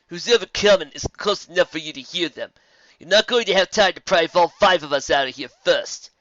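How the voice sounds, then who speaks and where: A man's voice: high-pitched, a little fast, a bit bright, lo-fi sound, voice pushed up. A man voicing a confident young female pony who takes charge. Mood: commanding.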